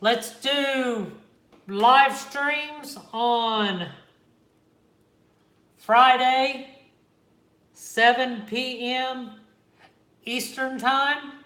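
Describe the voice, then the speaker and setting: A man from the Kansas, Oklahoma and Missouri area with a Midwestern American accent, hosting a relaxed livestream from home and answering viewers' chat. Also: very high voice, extremely slow, long pauses. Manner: laid-back, reads and answers live chat. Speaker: reptile channel host. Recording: echoey room.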